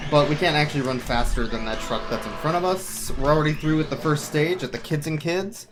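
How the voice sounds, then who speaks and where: A man's voice: a little high, fairly quick. A man giving casual, wry live commentary as he plays through a video game speedrun. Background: game music.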